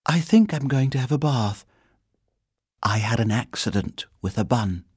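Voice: mid-range voice, animated, unhurried, breathy edge, no room sound, clean studio sound, pitched above the performer's own voice. A performer voicing a small, innocent young male bear, polite, earnest and a little hesitant, in a storybook reading. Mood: politely earnest.

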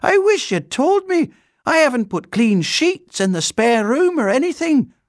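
Storybook housekeeper, older woman: very high-pitched, wildly swooping, fussy, fierce, motherly, blunt. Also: big pitch swings, measured pace, dry recording, clean studio sound. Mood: dismayed. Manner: exclamatory, fussing, blunt.

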